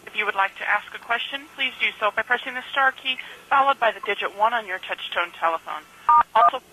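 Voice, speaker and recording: A woman's voice: medium pitch, pushed and bright. A woman working as a conference call operator, reading scripted instructions to callers. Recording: faintly noisy telephone line.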